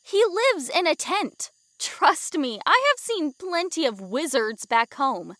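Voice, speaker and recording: Woman playing a high, bright, sassy adventurer. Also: big pitch swings, slow, no room sound, heavily acted. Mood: skeptical. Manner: skeptical, exasperated, blunt. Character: skeptical, sassy female wildling adventurer. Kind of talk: fantasy audio drama acting.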